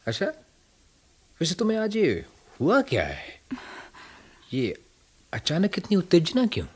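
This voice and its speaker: A man playing a weary, self-deprecating middle-aged writer and husband in a radio drama, speaking in a deliberate, wry way. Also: high voice, wildly swooping pitch, husky, exaggerated voice. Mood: questioning, reflective. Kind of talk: radio play acting.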